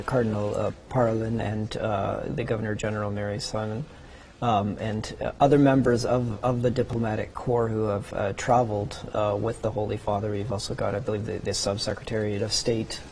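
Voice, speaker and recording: A man anchoring live news coverage from a studio as host, commenting in a hesitant, halting way. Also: lowish voice, fairly even intonation, a little mellow, poor audio. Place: broadcast studio. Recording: clear background noise.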